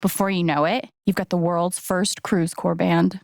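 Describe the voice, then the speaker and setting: A woman playing a sincere, gently wry young game heroine, with an American accent and an understated, conversational delivery. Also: lowish voice, level tone, normal speed, gravelly, no room sound, clean studio sound. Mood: playful. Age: young adult. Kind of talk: naturalistic video game voice acting.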